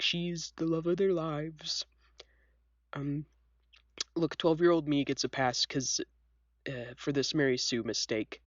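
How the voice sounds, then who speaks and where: A woman's voice: deep voice, no echo, muffled recording. A young woman with a scratchy voice, stuffed up from a cold, chatting casually and self-deprecatingly as she tells stories as a host. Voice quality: scratchy, congested. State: sick with a cold, sniffly. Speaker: video host. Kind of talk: casual chat, storytelling.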